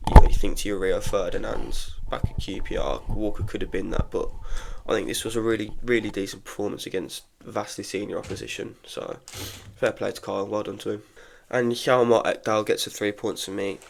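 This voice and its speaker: A man's voice: fairly low pitch, fairly even intonation, fairly quick, creaky, few pauses, darkish tone, a little hiss or hum. A congested young man giving frank, chatty opinions in a casual solo football review, full of restarts. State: congested from illness. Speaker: football podcaster.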